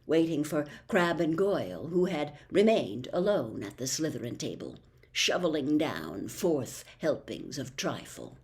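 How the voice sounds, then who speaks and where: A woman reading a children's novel aloud as an expressive storyteller. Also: fairly low pitch. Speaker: audiobook reader.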